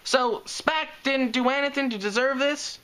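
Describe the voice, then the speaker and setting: A reader doing a calm folksy farm pony girl. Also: high-pitched, moderate pace, clear, resonant tone, voice pushed up. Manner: calm, plain-spoken, country grammar. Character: calm, folksy young earth pony mare.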